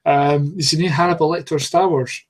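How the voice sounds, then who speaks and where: A man's voice: on the high side, steady tone, fairly quick. A man co-hosting a podcast, chatting casually in a joking, rambling way. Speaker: podcast co-host. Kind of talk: casual chat.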